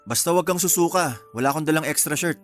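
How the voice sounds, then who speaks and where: Woman acting a cool, low-voiced young Filipino man. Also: fast talker, close to an everyday voice. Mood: curt. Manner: curt, deadpan, caring. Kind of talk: Filipino radio romance drama acting. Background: music.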